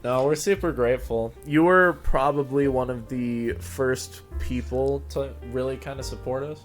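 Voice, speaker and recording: A man hosts a casual interview in a conversational way. His voice sits at a middle pitch, and music plays faintly behind him. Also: fairly even intonation, normal speed. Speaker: show host, fan fiction reader.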